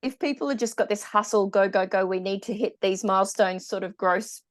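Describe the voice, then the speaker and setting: Woman, 40s, warmly enthusiastic podcast host speaking through an earphone mic on a call. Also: medium pitch, a little fast. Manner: enthusiastic, affirming, laughs often. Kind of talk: podcast interview. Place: bright room at home. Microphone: earphone mic on video call.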